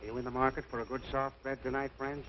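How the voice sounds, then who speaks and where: A man's voice: medium pitch, little pitch movement, fast, close to an everyday voice. A man acting a slick hustler with glib sales patter in a 1940s radio comedy. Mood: sly. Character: slick, fast-patter hustler renting out a bed. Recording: muffled old radio recording, faint noise.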